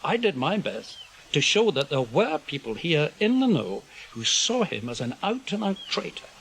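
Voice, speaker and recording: An older British intelligence chief in a radio play. His voice sits a little high for a man. He lays things out in short, measured phrases, guarded and weary, like someone carrying a burden of conscience. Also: very animated, gravelly, fairly bright tone, exaggerated voice, poor audio. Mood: earnest, confessional. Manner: measured, clipped, explanatory delivery in short phrases. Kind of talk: radio drama dialogue.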